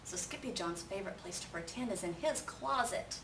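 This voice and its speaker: A woman reading a children's story aloud in an animated way, pausing to put questions to young listeners. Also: medium pitch, vocal fry. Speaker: storybook reader. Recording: roomy, distant mic with faint noise.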